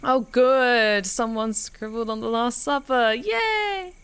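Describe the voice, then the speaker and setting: A woman's voice: a little high, slow pace, a bit bright, clear, resonant tone. A woman streaming a visual novel playthrough, mixing commentary with reading aloud in a wry, theatrical way.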